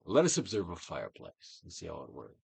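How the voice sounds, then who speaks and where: A man's voice: low voice, huge pitch swings, gravelly, darkish tone, breathy edge, no room sound. Theatrical man reading with dramatic, sweeping intonation. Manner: theatrical, deliberate delivery with swelling and falling emphasis. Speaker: narrator, audiobook reader. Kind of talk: dramatic reading of a self-help book, guided exercise.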